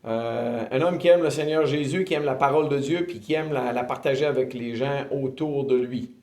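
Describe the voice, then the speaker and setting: A man in his fifties with a Québécois accent, earnestly telling his faith testimony in an interview, often pausing with filler sounds. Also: mid-range voice, darkish tone. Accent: Québécois French. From Quebec, Canada. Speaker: ministry director giving testimony. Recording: roomy echo.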